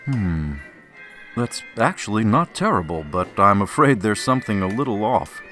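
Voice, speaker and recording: An actor playing a fastidious, self-satisfied male wine critic in a radio drama, refined and haughty, speaking in a measured way. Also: on the low side, big pitch swings, over music. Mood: disdainful.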